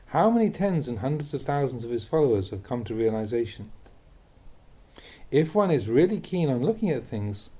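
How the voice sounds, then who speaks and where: Dark, soft-toned man reading spiritual teachings. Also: medium pitch. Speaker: Buddhist monk reading translated teachings. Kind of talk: read-aloud dharma talk, instructive narration.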